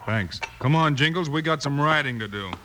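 An actor playing a steady, purposeful American frontier lawman hero in an old-time radio western. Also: on the high side, brisk, lightly acted. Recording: faintly noisy old radio recording.

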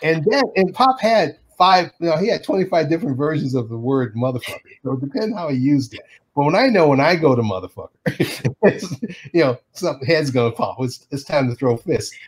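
A man's voice: fairly high pitch, animated, a little fast, breathy edge, low-quality recording. A middle-aged man from Detroit, an animation artist, telling stories in a casual interview, acting out quotes and laughing often.

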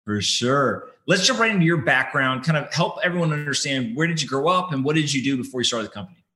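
A man hosting a podcast, talking in an upbeat, energetic and casual way. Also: mid-range voice, fast talker. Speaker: podcast host. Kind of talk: casual interview chat.

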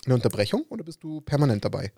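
Fast-talking podcast host man. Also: medium pitch, big pitch swings, vocal fry, mellow, husky, dry recording, studio quality. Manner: quick, long winding questions with fillers. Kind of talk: casual conversation.

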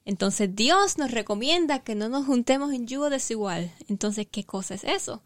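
Female co-host, slightly high voice, explains through stories. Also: expressive, normal speed. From Cuba. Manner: storytelling, explanatory. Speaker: podcast co-host.

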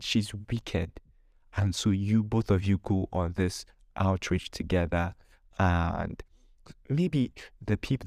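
A man's voice: fairly low pitch, a little mellow, no room sound, clean studio sound. A man hosting a podcast in an informal advice monologue, speaking emphatically with repeated phrases and rhetorical questions. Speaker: podcast host.

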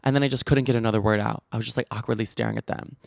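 A man's voice: on the low side, fairly even intonation, rapid-fire, gravelly, dry recording, studio quality. A man who stutters, hosting a podcast and telling stories in a chatty, gossipy way. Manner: chatty, gossipy storytelling with many likes and restarts. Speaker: podcast host. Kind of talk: casual chat.

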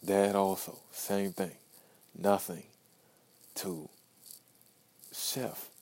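A man's voice: sits low, level tone, very slow, darkish tone. A man in his early twenties talking to himself in a blunt, profane podcast monologue, repeating phrases for emphasis. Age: 20s. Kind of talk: self-talk pep monologue.

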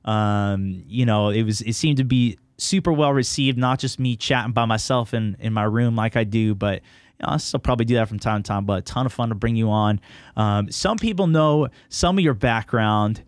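A man's voice: on the low side, moderate pace, no echo. A man hosting a gaming podcast in a casual, chummy way, chatting informally with his guest. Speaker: podcast host.

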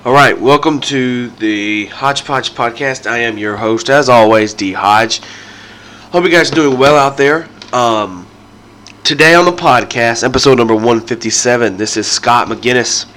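A man's voice: mid-range voice, moderate pace, a bit bright. Nasal, congested male podcast host. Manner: rambling, with frequent ums and restarts. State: congested from a sinus infection. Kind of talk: casual podcast intro.

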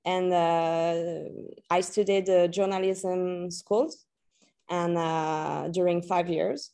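A woman's voice: fairly low pitch, steady tone, takes it slowly, warm tone. A woman in her late twenties with a French accent, a native French speaker, answering interview questions conversationally and searching for her words. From France and New Caledonia. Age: late 20s. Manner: hesitant, searching for words in a second language. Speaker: podcast guest, freelance journalist and copywriter.

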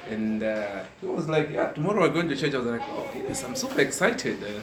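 Zambian guy, mid pitch, chatting politely as a guest, echoey room w people around. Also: very animated, fairly quick, a loud crowd. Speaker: visiting guest. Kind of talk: informal spoken testimony.

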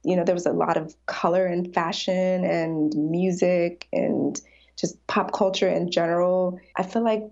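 A woman's voice: on the low side, flat delivery, unhurried, vocal fry, a little mellow. A woman in her forties, an artist talking reflectively in a casual interview, laughing often. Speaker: artist guest. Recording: dull lo-fi sound.

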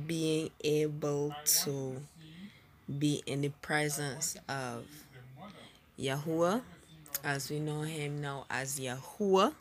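A woman with a Barbadian accent, chatting hesitantly with giggles and fillers about her faith as co-host. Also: low voice. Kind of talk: casual faith chat.

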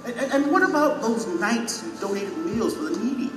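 A self-important ex mayor, high voice, eager n pompous, big hall echo, music behind. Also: male voice, sing-song, a little fast, recorded from a distance. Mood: puzzled. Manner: pompous, wondering, hesitant when unsettled. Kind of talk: audio drama acting.

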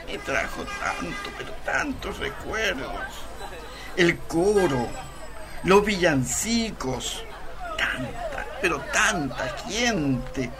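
An actor playing an excitable, tender, devout old husband and grandfather in a Chilean radio drama, exclaiming and repeating himself. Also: fairly high pitch, drawn-out words, a crowd in the background, full character voice. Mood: moved, content.

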